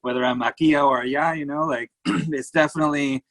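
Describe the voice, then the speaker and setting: A man's voice: a little high, steady tone, fairly quick, a bit bright, dry recording. A man from the United States moderating a casual video-call interview, informal and often tagging his sentences with "right?". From United States (Connecticut).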